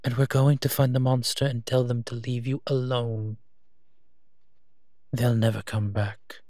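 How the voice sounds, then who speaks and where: A man playing a teasing, protective monster detective in human form, gentle and husky, in an intimate audio roleplay. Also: medium pitch, flat delivery, no room sound, studio quality, natural voice. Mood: gently reassuring. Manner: slow, teasing, tender endearments.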